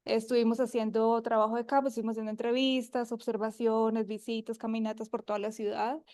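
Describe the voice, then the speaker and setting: A woman's voice: on the high side, flat delivery, fairly quick, dark tone, no echo. Colombian woman presenting at a university. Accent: Colombian, from Armenia (coffee region). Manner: friendly, with many fillers and restarts. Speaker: guest researcher presenting. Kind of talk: academic presentation to an audience. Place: university seminar room.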